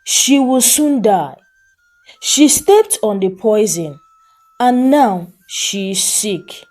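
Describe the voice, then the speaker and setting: A woman's voice: mid-range voice, very animated, takes it slowly, a little mellow, soft background music. A woman voicing a jealous, scheming mother of a grown son in a folktale, emphatic and commanding in manner. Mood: gloating.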